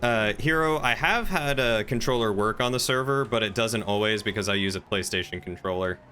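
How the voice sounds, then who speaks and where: Bright-voiced man streaming, noise behind him. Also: on the low side, brisk. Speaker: game streamer. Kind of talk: casual live-stream chat.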